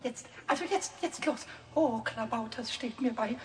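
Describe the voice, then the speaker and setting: A man voicing a cheeky, excitable little male kobold in a 1970s children's radio play, squeaky and creaky, stammering and exclaiming. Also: normal speed, a little hiss or hum. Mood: frightened, relieved.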